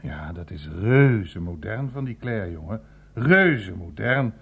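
An actor playing a teasing, worldly old uncle in a radio play, blustering and mocking in drawn-out, emphatic phrases. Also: male voice, on the low side, big pitch swings, creaky, a bit bright, husky, heavily acted. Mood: dry sarcasm. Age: older.

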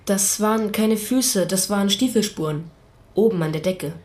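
A woman's voice: mid-range voice, moderate pace, a little mellow, lightly acted. A woman playing a pastor's young son, a hesitant and literal witness giving terse answers in a radio play.

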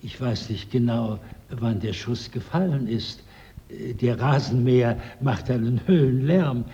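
An actor playing a plain-spoken, unruffled gardener, deliberate and matter-of-fact, in a radio crime drama. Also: male voice, medium pitch, dark tone, close to an everyday voice. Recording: dull, muffled radio drama recording.

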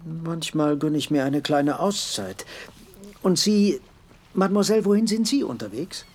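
An actor playing a guarded, politely evasive middle-aged man with a husky, gravelly voice in a radio play. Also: on the high side, a little slow, darkish tone. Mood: stiffly courteous. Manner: formal, evasive.

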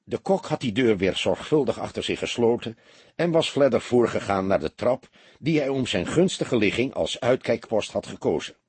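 A man narrating an audiobook in an expressive, scripted storytelling style. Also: mid-range voice, normal speed, husky, dry recording.

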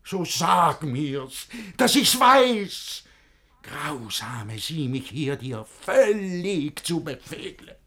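An actor playing a possessive, lovesick middle-aged guardian in a verse radio drama, declaiming theatrically between scolding and pleading. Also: male voice, a little high, big pitch swings, drawn-out words, pushed and bright, full character voice. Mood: desperate pleading.

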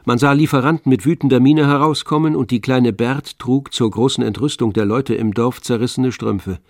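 An actor playing the all-knowing narrator of a literary tragedy in a radio drama, telling it in a measured, expressive way. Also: male voice, mid-range voice, no room sound, studio quality, close to an everyday voice. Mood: matter-of-fact.

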